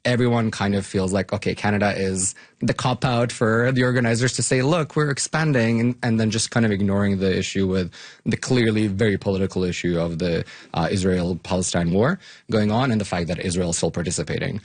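A man from Bosnia-Herzegovina giving informal, conversational interview answers as an academic guest, often softening points as they go. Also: lowish voice, fairly quick, dry recording. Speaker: academic guest, longtime fan of the topic.

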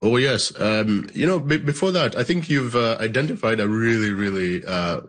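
A man from Uganda, a filmmaker, answering interview questions at home in a thoughtful, halting way with many fillers. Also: on the low side, a bit bright. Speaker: filmmaker and guest. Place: at home, child in background.

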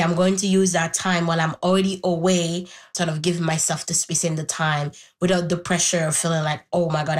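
Young woman, from Haiti, native Haitian Creole speaker, slightly low voice, candid, reflective personal storytelling. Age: young adult. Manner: thoughtful, candid. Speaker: interview guest.

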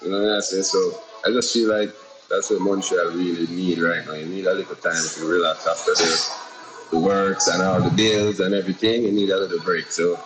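A Jamaican man talking about his music in a relaxed interview, unhurried and repetitive, often adding "you know what I say". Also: sits low, poor audio. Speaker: independent musician guest. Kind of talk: casual interview answers.

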